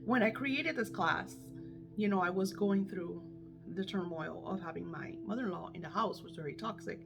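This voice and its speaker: A woman's voice: lowish voice, normal speed, vocal fry, over music. A middle-aged woman coaching her viewers in casual, candid talk, emphatic and swearing freely, her voice a little worn as if she is losing it. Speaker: manifestation coach.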